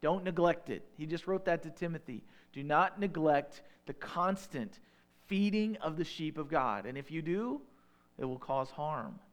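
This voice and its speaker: A man in his thirties with a Northern Illinois accent, preaching a sermon in a relaxed, conversational way with folksy asides. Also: fairly high pitch, unhurried. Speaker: pastor.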